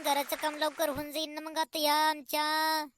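An elderly cartoon grandmother from the village acted out by a man, pleading slowly in a very high, bright voice. The words come drawn out. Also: monotone, no echo, clean studio sound. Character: pleading old village grandmother wanting her housing money. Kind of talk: comic cartoon dialogue.

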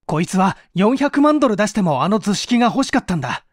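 A man voicing a hot-headed, sharp-reasoning man in an audiobook mystery, speaking forcefully and emphatically. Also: high voice, sing-song, no room sound, clean studio sound, voice pushed up. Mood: frustrated, angry. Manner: forceful, emphatic, quick to conclude.

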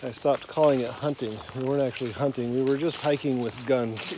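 A man's voice: medium pitch, fairly quick. Chatty man walking in summer woods. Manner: relaxed, chatty, joking with his son. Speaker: vlogger and father. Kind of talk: casual outdoor vlog chat. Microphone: handheld phone at arm's length. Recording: outdoor insect noise behind. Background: crickets and insects.

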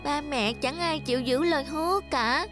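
A woman's voice: sits high, moderate pace, close to an everyday voice. A woman voicing a sweet six-year-old boy who speaks in an earnest, childlike way in a cartoon. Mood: sulky. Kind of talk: cartoon voice acting. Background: music.

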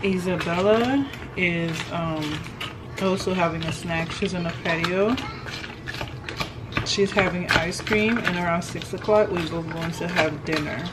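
Unhurried, low-pitched woman vlogger in noisy room. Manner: informal, unhurried, addressing viewers. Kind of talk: casual vlog chat. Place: home kitchen, indoors.

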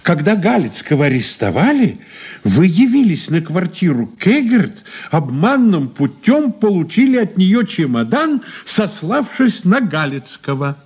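A man's voice: sits high, sing-song, a little slow, a little mellow, breathy edge, full character voice. An actor playing a shrewd, ironic old man under questioning in a 1980s Soviet radio play, courteous and condescending. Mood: accusing. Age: older. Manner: courteous, sly, condescending endearments.

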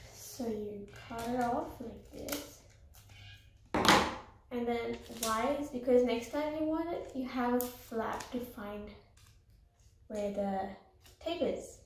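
A woman's voice: fairly high pitch, slow, long pauses, background noise. Chatty young woman in echoey room. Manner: chatty and instructive, dropping to quiet asides while working. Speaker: vlogger. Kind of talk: clean-with-me tutorial. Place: small carpeted room indoors. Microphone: camera at arm's length.

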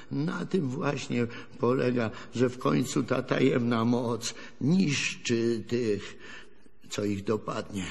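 A folksy old grandfather in a radio play, spinning wry, wistful tales in rustic speech. His voice is husky and creaky, with an unhurried delivery. It rings in a long reverberant space. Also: medium pitch, heavily acted. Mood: reflective, rueful. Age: older. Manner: rambling folksy storytelling, chuckling, rustic colloquial speech. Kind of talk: radio drama acting.

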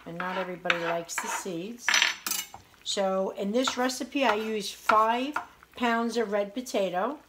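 A woman's voice: mid-range voice, unhurried, heavy background noise. A woman in her forties hosting a casual home cooking video, chatty and homey as she prepares and tastes a salad in her kitchen. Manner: chatty, homey, tasting and praising the food as she goes. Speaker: home cooking show host. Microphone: camera on the counter at arm's length.

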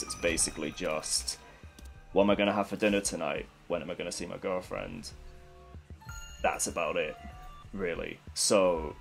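Young male gaming commentator, deep and stuffy, rambly and candid, swears freely. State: congested from a cold. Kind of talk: casual gameplay commentary.

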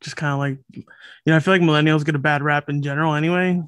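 Late-thirties man from Los Angeles, podcast guest, smooth voice sitting a touch high, dry close sound, unhurried and reflective. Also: dry recording. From Los Angeles, USA. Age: late 30s. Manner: relaxed, thoughtful, with many fillers and restarts. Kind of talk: casual conversational interview. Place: home room, over a video call.

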